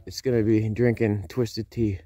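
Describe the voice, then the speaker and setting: A man's voice: fairly low pitch, little pitch movement, moderate pace, warm tone, a little hiss or hum. A man filming his own ice fishing trip outdoors on a phone, chatting casually and joking with his friends. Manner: joking, laughs often, teases friends. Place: outdoors on a frozen lake shore in winter. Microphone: handheld phone camera.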